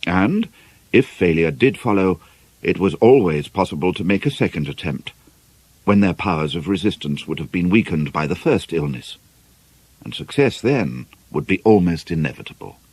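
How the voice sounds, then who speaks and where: Male novel narrator: low, lively intonation, deliberate emphasis, measured storytelling delivery. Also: husky, no room sound. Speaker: audiobook narrator.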